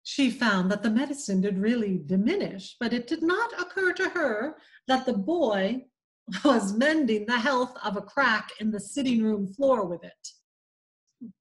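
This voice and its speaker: Woman with a New England accent reading a novel aloud; slow, measured delivery, lightly raised pitch. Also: animated, rough recording. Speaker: community reader.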